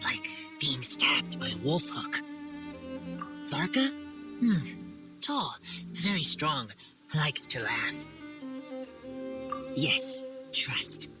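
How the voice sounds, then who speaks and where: An earnest, shy wolf-raised teenage boy, speaks in slow, clipped fragments. The voice sits low, with halting simple phrasing, in a video game scene with clear background music. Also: huge pitch swings, heavily acted. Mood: hesitant, wistful. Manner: halting, clipped broken sentences. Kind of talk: video game character acting.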